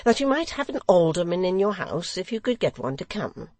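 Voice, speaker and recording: A woman's voice: fairly low pitch, big pitch swings, a little fast, darkish tone, no room sound, voice pushed up. Woman doing a fussy, testy Lord Mayor. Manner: fussy, dithering. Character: testy flustered pompous Lord Mayor, male.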